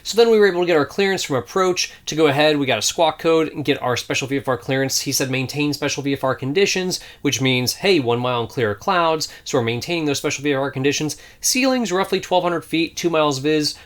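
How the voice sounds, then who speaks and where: A man's voice: on the high side, animated, fast, few pauses. A man giving informal, instructive commentary as a flight instructor. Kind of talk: explanatory video commentary.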